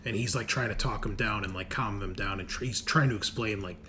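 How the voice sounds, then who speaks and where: A man improvising narration as game master of a tabletop game, often halting to search for words. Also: on the low side, sharp tone. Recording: noisy room recording.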